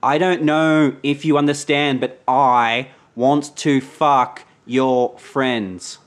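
A man co-hosting a casual chat, telling an anecdote in a relaxed, rambling way. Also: mid-range voice, slow pace, smooth. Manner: laid-back anecdotal storyteller. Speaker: podcast co-host. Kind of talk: casual storytelling.

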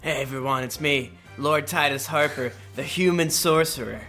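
A man's voice: fairly high pitch, pushed and bright, faint music behind. A man joking around as a player on a casual tabletop podcast. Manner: joking, goofy, quick asides.

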